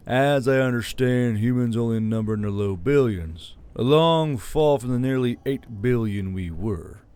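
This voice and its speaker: A man's voice: mid-range voice, a little mellow, clear, resonant tone, lightly acted. A man playing a weary, stern militia leader burdened by guilt in an audiobook drama.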